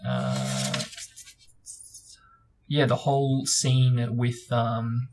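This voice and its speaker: A man's voice: mid-range voice, very animated, very slow, music in the background, low-quality recording. A man hosting a book discussion, reading aloud and chatting casually in informal, loosely phrased speech. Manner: hesitant, informal phrasing with frequent "like" and "sort of". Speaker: book discussion host and reader.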